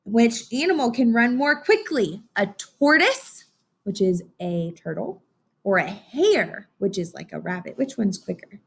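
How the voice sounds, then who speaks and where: A woman's voice: medium pitch, very animated, a little slow, gravelly, husky. A woman teaching young children in an interactive read-aloud lesson, encouraging and instructional, often putting questions to her listeners.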